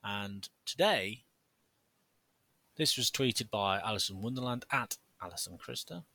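A man's voice: fairly low pitch, animated, unhurried, pushed and bright, no echo. A man co-hosting a podcast, theatrical, jokey and sarcastic in casual chat. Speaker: podcast co-host.

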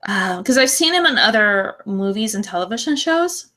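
A woman's voice: mid-range voice, animated, normal speed, pushed and bright. A woman co-hosting a podcast, chatting casually about films in a gushing, slangy, effusive way. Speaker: podcast co-host.

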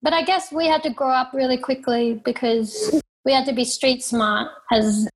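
A young Australian woman talking about her life in plain, halting answers as an interview guest. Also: high-pitched, steady tone, breathy edge, poor audio. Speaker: guest, professional fighter.

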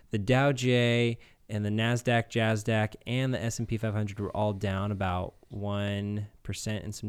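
A man with a smooth, clear voice and a middling pitch. He talks casually and jokingly, like a co-host among friends on a gaming podcast. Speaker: podcast co-host reading a stock segment. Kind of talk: casual joking group chat about games.

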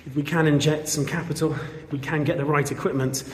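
A man's voice: on the high side, steady tone, fast talker, slight background noise. Chatty man in an echoey hall. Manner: chatty, earnest, many fillers. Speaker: volunteer fundraiser showing a school. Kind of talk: casual walkthrough vlog. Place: large old school building indoors. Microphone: handheld camera. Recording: echoey hall, long reverb.

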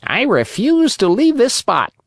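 A wise old talking cricket from a storybook, calm and preachy, speaking in a thin, raised voice, as the narrator puts him on. His lines rise and fall widely, slow and careful, in a dry, close recording. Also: medium pitch, gravelly, no echo, pitched above the performer's own voice, exaggerated voice. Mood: calm lecturing. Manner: calm, lecturing.

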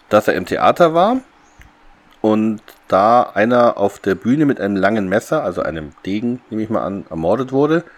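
A man chatting casually as a podcast co-host, rambling as he tells things. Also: on the low side. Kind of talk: casual podcast chat about a radio play.

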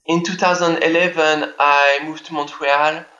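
A man answers interview questions plainly and matter-of-factly. His voice is fairly high and bright but flat, with little rise and fall. He comes through a dull, lo-fi remote line. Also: unhurried. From France. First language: French. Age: 30s. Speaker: tax accountant guest.

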